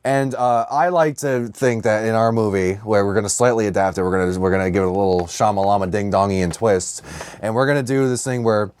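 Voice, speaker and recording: A man co-hosting a podcast in casual comedic banter, swearing freely and telling stories in an animated, riffing way. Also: fairly low pitch, a little fast, smooth. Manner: profane, riffing, animated storytelling. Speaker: podcast co-host. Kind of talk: casual comedic banter about films and comics.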